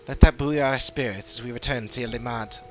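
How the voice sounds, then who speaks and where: A man voicing an earnest, formal fantasy adventurer in video game dialogue, with measured and serious delivery. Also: medium pitch, music in the background, lightly acted. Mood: grave, hopeful.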